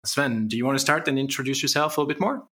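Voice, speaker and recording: A man's voice: mid-range voice, racing, sharp tone. A man hosting an interview podcast, reading introductions and asking friendly questions. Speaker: podcast host.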